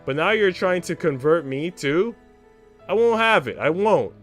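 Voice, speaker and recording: A man acts out a spoiled young noblewoman from a visual novel, haughty and petulant. The voice is pushed higher and swings about in indignant, snapping outbursts, with music underneath. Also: expressive, unhurried, a bit bright. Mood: furious outrage.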